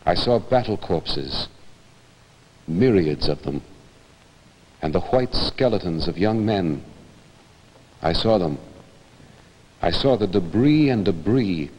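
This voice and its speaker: A man's voice: on the low side, a little mellow, in a large hall, low-quality recording. A man giving a grave, declamatory dramatic recitation of poetry.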